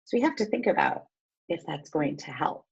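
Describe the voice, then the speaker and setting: A woman teacher reading a storybook aloud and pausing to explain things step by step in an instructive way. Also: sits low, animated, vocal fry, husky, muffled recording.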